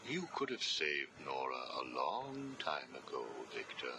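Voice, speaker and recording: A smug villain psychiatrist in a video game. His voice is extremely deep yet swoops expressively as he probes, taunts and accuses with clinical coldness. The audio log has clear noise. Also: very animated, measured pace, full character voice. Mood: taunting, accusatory. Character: smug, sinister male interrogating psychiatrist villain, coldly accusatory. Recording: noisy interview audio log.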